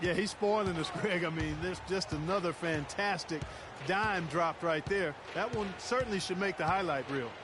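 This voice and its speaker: A man's voice: sits high, normal speed, noisy background, lightly acted. A man playing a basketball color analyst in a video game, conversational and emphatic in his remarks. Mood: admiring. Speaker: color commentator. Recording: game stream with loud music and court sounds. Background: loud music, basketball court sounds.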